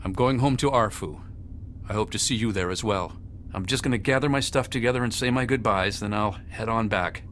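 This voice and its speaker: A man plays a young man in a video game, subdued but resolved. His voice is low. Faint noise sits behind the dialogue. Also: fairly quick, a bit bright, close to an everyday voice.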